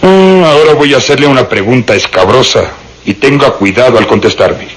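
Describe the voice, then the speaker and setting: An actor playing a stern, methodical police inspector in a radio drama, speaking formally and with authority. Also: male voice, mid-range voice, lively intonation, fairly quick, lightly acted. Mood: methodical, pressing. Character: stern, methodical, officious male Scotland Yard police inspector.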